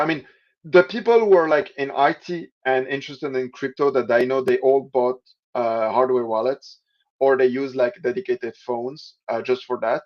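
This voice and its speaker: Man, from Switzerland, halting with fillers, middle pitch, dull lo-fi call sound. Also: a little mellow. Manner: halting, many restarts and fillers. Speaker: podcast guest. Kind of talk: casual interview answers. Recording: dull lo-fi audio.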